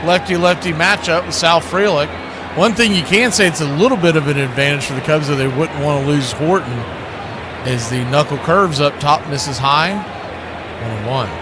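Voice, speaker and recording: A fairly high-voiced man gives live radio baseball commentary. He is folksy and conversational, slipping casual asides between pitches. There is clear background noise behind him. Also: normal speed. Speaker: baseball play-by-play announcer. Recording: noisy ambience behind the voice.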